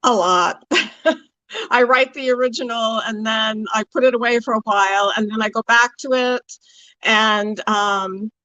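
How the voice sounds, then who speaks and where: A woman's voice: a little high, a little slow, pushed and bright, dry recording, highs cut off. A woman talking casually and chattily on a video call, answering questions in an informal, conversational way.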